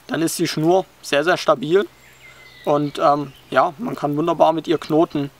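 A young man calmly explaining a bushcraft technique while working with his hands, sitting outdoors in a forest, with frequent hesitation fillers. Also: a little high, measured pace. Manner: calm, explanatory, many "ähm" fillers. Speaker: bushcraft instructor.

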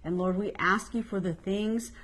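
A woman's voice: mid-range voice, steady tone, moderate pace, a bit bright, husky. A middle-aged woman co-hosting a ministry talk, leading a fervent spoken prayer, recorded on a lapel mic indoors. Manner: affirming, fervent when praying. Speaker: co-host and minister. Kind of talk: casual chat and spoken prayer. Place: indoor office, seated on couch.

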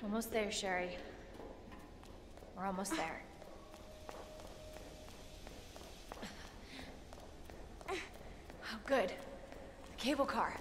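A woman's voice: mid-range voice, slow, noisy background, close to an everyday voice. An actress playing a young woman, a caring and gutsy survivor, in naturalistic video game acting. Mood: encouraging, tense. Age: young adult. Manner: reassuring, earnest.

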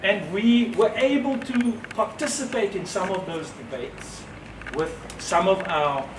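A man in his sixties giving a reflective, measured speech to an audience at a gallery opening, with pauses between phrases. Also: sits high. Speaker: speaker at an exhibition opening. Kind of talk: unscripted public speech. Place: gallery entrance, indoors facing an audience. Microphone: far camera, no mic seen. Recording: roomy, distant, noisy ambience.

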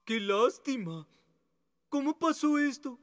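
A man's voice: very high voice, animated, takes it slowly, over loud music, heavily acted. An actor playing a friendly, easily delighted animal repairman in a cartoon, good-natured and exclamatory. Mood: sympathetic. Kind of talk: cartoon character acting.

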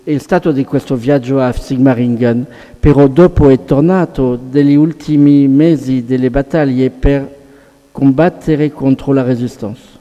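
A middle-aged man presenting and interpreting informally at a panel, in short halting phrases. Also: medium pitch, fairly even intonation, dark tone, muffled recording. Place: large hall, seated at panel table. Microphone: table microphone. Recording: long hall reverb.

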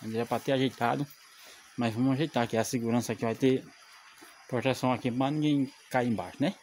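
Chatty builder vlogging outdoors. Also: male voice, mid-range voice, steady tone, fast talker, darkish tone, slight background noise. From Brazil. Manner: chatty, devout, keeps pointing things out. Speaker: bricklayer vlogger. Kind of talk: casual on-site vlog. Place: outdoors at a rural building site. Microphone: phone camera held by the speaker.

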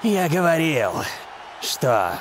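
A man's voice: a little high, very animated, slow pace, over music, rough recording. A man playing an arrogant, hulking star striker villain in a dubbed cartoon, gloating and boastful.

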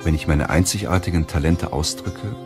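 A man narrating an audiobook in a measured, solemn way, reading from a script. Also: a bass voice, over music.

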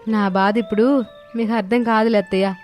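A woman voicing a young, meek daughter-in-law who speaks deferentially in an animated moral story. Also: medium pitch, fairly quick, soft background music, close to an everyday voice. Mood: dejected.

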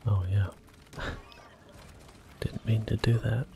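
A man talking softly through a video game in a slow, gentle ASMR whisper. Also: lowish voice, little pitch movement, vocal fry, slight background noise. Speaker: ASMR gaming channel host. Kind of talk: soft-spoken ASMR gameplay commentary.

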